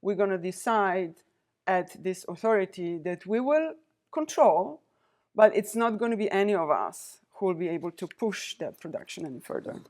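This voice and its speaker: A woman in her forties from Poland, speaking, giving a prepared university lecture as a visiting diplomat, with a measured, deliberate delivery and pauses between listed points. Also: medium pitch, animated, a little mellow. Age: 40s.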